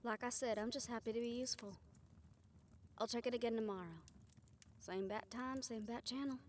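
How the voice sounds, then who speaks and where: A woman's voice: a little high, normal speed, a little hiss or hum, close to an everyday voice. A woman acting a good-natured young spellcaster in an audio roleplay, talking in a casual, folksy, wry way. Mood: wry, upbeat.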